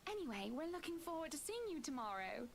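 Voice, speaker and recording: Streamer girl putting on a super high polite hostess voice, swoops up and down a lot. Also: very animated, quick pace, vocal fry, a little hiss or hum, heavily acted. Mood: courteous. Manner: polite, formal.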